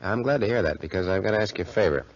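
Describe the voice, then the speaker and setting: An actor in a 1940s radio drama playing a brash, smooth-talking young schemer with slangy, cocky tough-guy talk. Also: lowish voice, expressive, very fast. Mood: coaxing. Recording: muffled 1940s radio recording.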